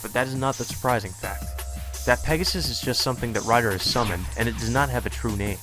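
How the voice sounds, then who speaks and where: A man's voice: mid-range voice, fast talker. A man reading visual novel narration aloud as a let's play narrator. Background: game music.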